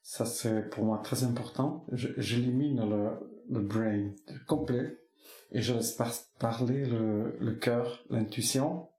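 A middle-aged man whose first language is Basque, a singer answering interview questions slowly and thoughtfully, with many pauses and restarts. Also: medium pitch, steady tone, warm tone, echoey room. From French Basque Country. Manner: slow, searching, many restarts and fillers.

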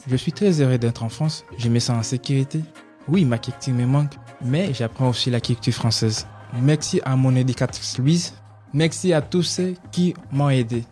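A man's voice: medium pitch, moderate pace, dark tone, soft background music. A sixteen-year-old young man from Congo with a Congolese accent, giving a prepared personal testimony on the radio as a student. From Democratic Republic of the Congo. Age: 16, teenager.

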